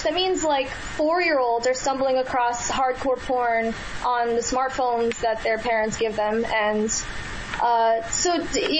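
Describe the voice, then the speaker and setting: A woman with a high-pitched voice, a radio interview guest who answers earnestly, with many fillers and restarts. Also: a little hiss or hum, rough recording.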